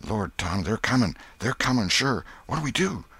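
A man voicing a superstitious country boy in a storybook, hushed with dread and drawling in rustic dialect. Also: on the low side, lively intonation, normal speed, creaky, slightly rough, no echo, heavily acted. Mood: terrified.

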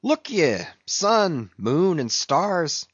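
A man's voice: fairly high pitch, sing-song, extremely slow, slightly rough, voice pushed up, heavily acted. A man voicing a grimly jocular, defiant second mate with a wry, taunting manner in an audiobook. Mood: grim defiance. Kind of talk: dramatic audiobook character acting. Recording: roomy, slightly dull recording.

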